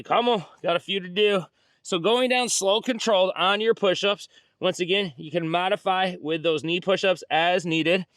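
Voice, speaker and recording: A man's voice: fairly high pitch, a bit bright, clean tone, no room sound, studio quality. A middle-aged man coaching a workout outdoors, cueing form, counting reps and cheering people on. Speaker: fitness instructor. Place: outdoors on a beach.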